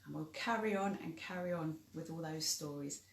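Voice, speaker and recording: Lower-pitched woman in an echoey room. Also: moderate pace. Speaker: co-presenter. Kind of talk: casual chat to viewers.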